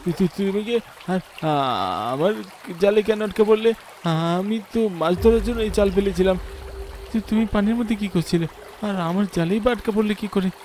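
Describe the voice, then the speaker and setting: A man's voice: high-pitched, normal speed, darkish tone, slight background noise, natural voice. A man playing a poor, earnest village fisherman and family man in a horror cartoon. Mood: terrified. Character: poor, hopeful village fisherman, husband and father.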